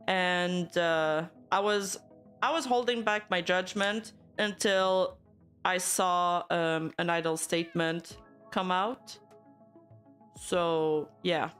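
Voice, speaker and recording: A woman's voice: on the low side, fairly even intonation, slow pace, fairly bright tone, soft background music. A young woman from Canada, a VTuber streamer, casually chatting and reacting to news and her live chat, laughing often and teasing back.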